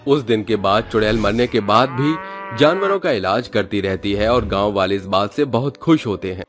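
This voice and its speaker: Male narrator, lowish voice, scripted horror story narration, faint background music. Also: lively intonation, normal speed.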